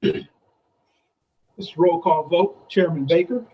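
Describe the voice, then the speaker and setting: A man's voice: on the high side, takes it slowly, dull audio. A man acting as clerk in a formal online meeting, giving roll call and announcements. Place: video conference call. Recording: clearly noisy call audio with clicks.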